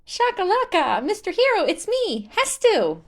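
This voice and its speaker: A woman's voice: measured pace, full character voice. A woman voicing a huge, childish male tree creature, exuberant and friendly, in a fan fiction audiobook. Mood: overjoyed. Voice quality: high-pitched, childish. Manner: loud, excitable, chanting.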